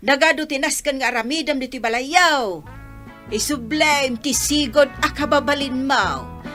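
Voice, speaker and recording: A woman's voice: high-pitched, unhurried, a bit bright, soft background music. An actress playing a scolding, emphatic village mother in an Ilocano radio comedy drama. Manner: nagging, emphatic. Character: scolding village mother nagging her phone-absorbed child.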